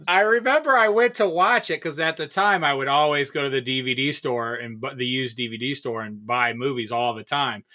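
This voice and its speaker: Man, bright voice, medium pitch, casual podcast co-host, wry, profane. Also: a little fast, hardly any pauses. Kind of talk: casual chat with friends.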